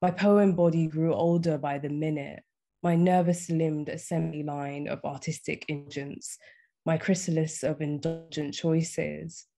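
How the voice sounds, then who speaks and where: A young woman poet reading her poems aloud in a measured, deliberate way. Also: deep voice, fairly even intonation, warm tone, faint music behind. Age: young adult. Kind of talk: poetry reading. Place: small indoor room, on a video call.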